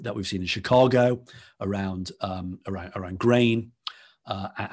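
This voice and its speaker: A man's voice: lowish voice, slightly rough. A man with a Liverpool accent, a podcast guest explaining at length in conversation and often restarting his phrases. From Liverpool, England.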